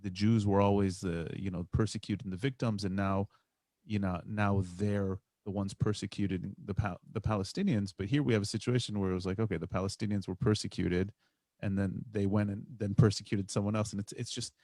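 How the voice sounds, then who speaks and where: Podcast host guy, lowish voice, stammers and says you know a lot, dry close sound. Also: fairly even intonation, brisk, dark tone, dry recording. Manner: stammering restarts, thinking aloud with many "you know"s. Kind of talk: casual interview talk.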